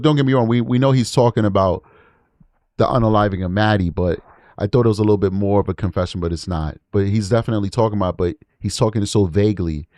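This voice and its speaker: A man's voice: lowish voice, mellow, no echo, clean studio sound. A man hosting a true crime livestream, casually commenting on audio clips and repeating words for emphasis.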